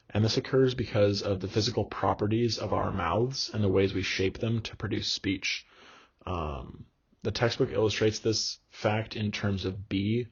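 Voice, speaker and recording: A young man giving a recorded course lecture, explaining in a measured way with frequent ums and uhs. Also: fairly low pitch, husky. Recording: dull lo-fi recording.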